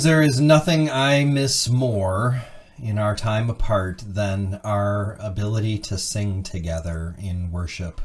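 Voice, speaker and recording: A man speaking as a minister to a congregation, earnest and encouraging as he leads worship. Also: deep voice. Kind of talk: worship service address introducing a hymn.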